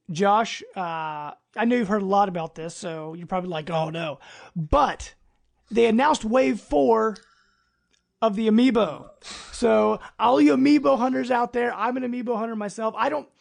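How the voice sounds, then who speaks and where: A man's voice: sits high, moderate pace, gravelly. An American man chatting casually as a podcast host, upbeat and agreeable. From United States. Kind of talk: casual chat.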